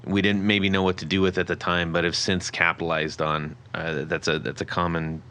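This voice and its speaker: A man hosting a podcast interview, asking halting questions with fillers and friendly reactions. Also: deep voice, level tone, bright, forceful tone. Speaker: podcast host. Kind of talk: casual interview chat.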